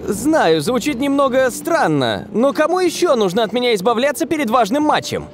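A man's voice: extremely high pitch, very animated, normal speed, slight background noise. A man voicing an earnest, suspicious young footballer in a cartoon. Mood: puzzled. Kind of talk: cartoon dub acting.

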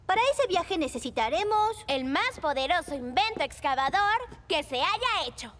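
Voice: very high-pitched, animated, moderate pace, a bit bright, slight background noise. An actor voicing a boastful child animal professor in a children's cartoon, pompous and enthusiastic. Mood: pompous, excited. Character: child cartoon inventor professors, penguin and pink creature, boastful.

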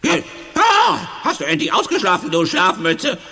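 A man playing a mischievous, childish grumpy creature in a children's audio drama, with exaggerated, drawn-out delivery. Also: high voice, huge pitch swings, creaky, pushed and bright, husky, exaggerated voice. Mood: gleeful. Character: mischievous, childish grumpy male goblin-like cartoon troublemaker.

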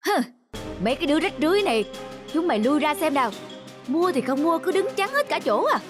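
A woman voicing an irritable, scornful cartoon customer with a gruff, scolding manner. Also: high-pitched, very animated, fast talker. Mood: irritated, scornful. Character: irritable, scornful customer shooing away ragged children. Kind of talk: cartoon dubbing. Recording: music behind the voice.

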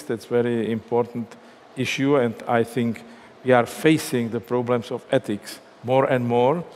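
An older man moderating a panel in a large glass hall. He speaks at a mid pitch with formal, slightly non-native phrasing. A long hall reverb and a faint background hiss sit behind his voice. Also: a little mellow.

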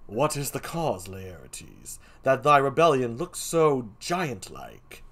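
A troubled king, as a man puts him on, broods in a hushed, lowered voice, letting each phrase fall slowly and quietly. The tone stays bright in colour but subdued in force. It belongs to a one-man stage reading. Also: very animated, full character voice. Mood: brooding. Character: troubled, scheming middle-aged king.

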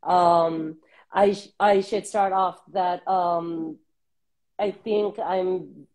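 A woman in her thirties from Southern California, a guest talking reflectively over a video call on earbuds, with many pauses and fillers. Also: fairly low pitch, fairly even intonation. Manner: warm, reflective, many ums. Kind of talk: casual interview on a video call. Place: small room indoors.

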